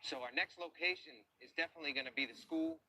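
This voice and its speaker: A man working as an underwater search diver, narrating the search step by step outdoors by ponds and calmly reporting depths and findings. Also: a little high, fast talker, a little hiss or hum, poor audio.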